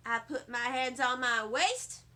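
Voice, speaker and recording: A woman reading a children's storybook aloud in expressive first-person narration. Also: high-pitched, unhurried. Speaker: storybook reader.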